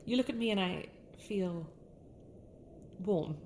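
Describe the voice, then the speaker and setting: Lady playing a proud young british ice princess, courtly asmr roleplay, mid pitch, some background noise. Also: takes it slowly, a little mellow, close to an everyday voice. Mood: tender, resigned. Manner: formal, courtly, theatrical phrasing. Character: haughty sharp-tongued young winter princess with a softer, regretful side. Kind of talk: scripted ASMR roleplay addressed to the listener.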